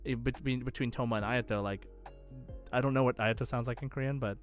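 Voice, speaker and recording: A man hosts a quiz podcast in a relaxed, chatty style. His voice sits at a medium pitch. He rambles and hesitates as he goes, with soft music underneath. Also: fast. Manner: hesitant, rambling, heavy use of "like". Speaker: podcast host, quizmaster. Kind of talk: casual chat.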